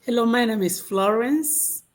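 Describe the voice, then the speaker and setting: A woman from Nigeria, a nurse, introducing herself on a video call in a weary, measured way. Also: fairly high pitch. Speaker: registered nurse and business owner. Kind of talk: self-introduction.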